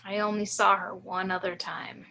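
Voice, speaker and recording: A woman playing a haunted storyteller, grave and hushed, recalling a sinister childhood in a table read. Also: medium pitch, flat delivery, normal speed, gravelly. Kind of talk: dramatic monologue in amateur table read.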